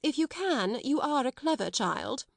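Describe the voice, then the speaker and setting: A woman voicing a severe, stately schoolmistress with a sharp manner in a storybook reading. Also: sits high, lively intonation, measured pace, vocal fry, no echo, studio quality, voice pushed up, heavily acted. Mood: annoyed, forced politeness. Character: stern, severe, stately schoolmistress, quick to anger.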